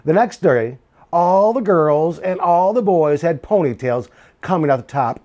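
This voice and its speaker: A middle-aged man reading a children's storybook aloud in an expressive, deliberate way with dramatic pauses. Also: a little high. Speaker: school administrator reading aloud. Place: small indoor office. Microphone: lapel mic.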